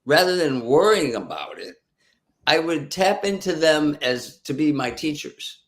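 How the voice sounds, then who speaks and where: A male host with a fairly high voice, easygoing and conversational. He talks loosely, tossing in "you know" as he makes his point. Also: animated, measured pace, a bit bright. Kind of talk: casual conversation.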